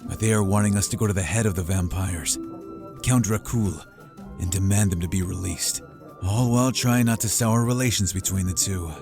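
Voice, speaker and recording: A man's voice: sits low, normal speed, over music. A man playing an expert, easygoing hunter who talks in a casual, matter-of-fact way in a fantasy audio roleplay. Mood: serious, briefing. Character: expert male hunter, easygoing, loyal, practical team partner. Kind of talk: scripted fantasy audio roleplay addressed to the listener.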